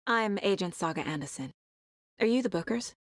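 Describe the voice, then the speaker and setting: An actress playing a determined, focused female FBI agent in a video game, with a serious, measured investigator's delivery. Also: medium pitch, expressive, no room sound, studio quality, close to an everyday voice. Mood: businesslike. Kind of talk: scripted video game dialogue and inner monologue.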